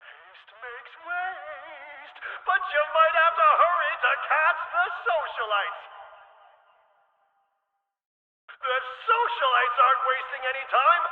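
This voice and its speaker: An actor playing an excitable, teasing video game arena announcer, showy and theatrical, through a PA-style filter. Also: male voice, very high-pitched, unhurried, hardly any pauses. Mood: excited, playful. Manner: showy, playful, exclamatory. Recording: tinny band-limited PA, long echoing reverb.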